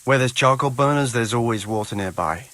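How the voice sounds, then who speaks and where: A man acting as a pious medieval charcoal burner in a video game. His voice sits at an ordinary middle pitch. He talks in a dry, dismissive, reluctant way. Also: fairly quick, a bit bright, no room sound, close to an everyday voice. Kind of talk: video game cutscene dialogue.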